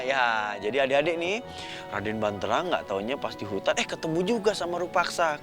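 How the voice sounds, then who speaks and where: A man telling a story to children in an informal, chatty way, often putting questions to his young listeners. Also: mid-range voice.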